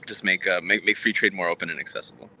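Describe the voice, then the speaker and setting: Male, American, native Spanish speaker, twenties. Interview guest with a sharp, bright tone and halting delivery, dull lo-fi recording. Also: low-pitched, fairly even intonation. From Houston, Texas, United States. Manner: halting, rambling, frequent stammered repeats. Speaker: guest, tech startup founder. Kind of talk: conversational interview answers.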